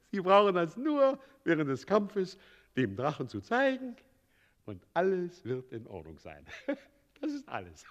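An actor playing a fawning, addled old town mayor with a pompous, ceremonious manner in a German radio play. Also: male voice, on the high side, a bit bright, exaggerated voice. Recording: long echoing hall reverb.

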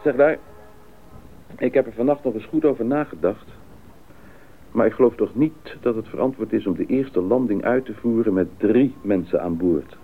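An actor playing a decisive expedition pilot in a Dutch radio drama, speaking in a deliberate, businesslike way. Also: male voice, on the low side, faint music behind, close to an everyday voice. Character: decisive male expedition pilot leading the planning. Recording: muffled narrowband radio play recording.

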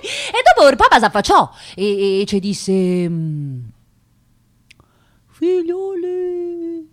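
A working-class woman from the streets of Rome, with a strong Roman accent and a somewhat high voice. She is chatty and irreverent, telling her stories in loose colloquial speech, in naturalistic radio drama style. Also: slow pace, heavily acted. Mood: amused reminiscing. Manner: colloquial, animated anecdote telling.

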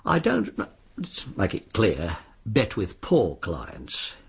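An actor playing a suave, sly disbarred lawyer in a radio drama, with a British accent and an insinuating, politely formal way of talking. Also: male voice, on the low side, wildly swooping pitch, slow, gravelly, husky, exaggerated voice. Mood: businesslike, sly. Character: suave, sly disbarred lawyer arranging sinister bets on deaths.

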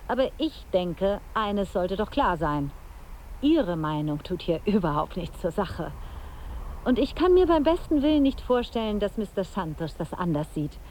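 A woman's voice: mid-range voice, very animated, normal speed, a little mellow, a little hiss or hum, close to an everyday voice, rough recording. An actress playing an officious, coolly condescending woman in a radio drama, formal, curt and authoritative. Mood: stern. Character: officious, firm, coolly condescending female minder of interviews. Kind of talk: naturalistic radio drama dialogue.

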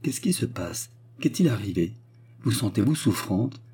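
A man's voice: on the low side, lively intonation, normal speed, mellow, slightly rough. A man voicing a kind, idealistic young lady hostess in an audiobook, speaking politely and with effusive, earnest concern. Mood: anxious tenderness.

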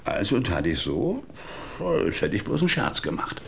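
An actor playing a coarse, scolding crime boss who hands out jobs to a hired killer in a radio drama. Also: male voice, fairly low pitch, huge pitch swings, moderate pace, gravelly, husky, heavily acted. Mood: businesslike.

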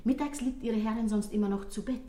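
A woman's voice: on the high side, fairly even intonation, fast talker, warm tone, close to an everyday voice. An actress as a talkative concierge in a radio play, heard in a roomy, echoing space. She is polite and obliging, with an eager streak of gossip in the way she speaks. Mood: chatty, obliging. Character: obliging, chatty female concierge of an apartment house. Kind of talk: German radio drama acting.

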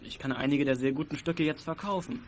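An actor playing a greedy, stubborn small-time crook in a German youth radio play, insistent and defiant. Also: male voice, a little high, fairly quick, a little mellow, a little hiss or hum, natural voice. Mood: persuasive.

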